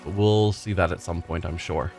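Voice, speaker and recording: Low-voiced man chatting through a game. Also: moderate pace, vocal fry, over music. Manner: relaxed, chatty, musing aloud while he plays. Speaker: gaming channel host. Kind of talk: let's play commentary, reading game text aloud.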